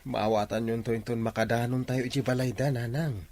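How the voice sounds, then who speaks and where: A man plays a devoted, determined young sweetheart and son. His mid-pitched voice is earnest and respectful, in a sincere audio drama style. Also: steady tone, brisk, a little mellow, dry recording, close to an everyday voice. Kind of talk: Ilocano audio drama acting.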